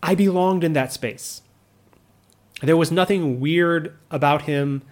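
Earnest man, reflective monologue. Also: on the high side. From United States. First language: English. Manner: earnest, measured, with frequent restarts and asides. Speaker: vlogger living abroad.

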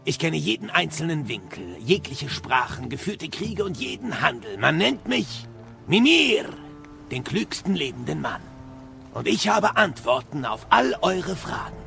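An actor playing an ancient, boastful know-it-all captive in an audio drama, eloquent and sardonic. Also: male voice, a little high, sing-song, unhurried, gravelly, sharp tone, soft background music, full character voice. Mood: boastful, explanatory.

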